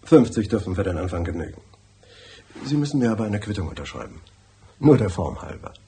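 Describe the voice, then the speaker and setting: Radio play client: tight-fisted, nervous businessman, lowish voice, clipped and very quick, some noise behind. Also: very animated, warm tone. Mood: guarded, businesslike. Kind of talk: radio drama dialogue.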